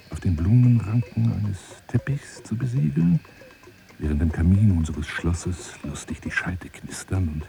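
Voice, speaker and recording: An actor playing a lonely, eccentric romantic braggart who courts a woman in a florid, theatrical radio-play monologue with hushed asides. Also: on the low side, creaky. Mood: wistful, courting.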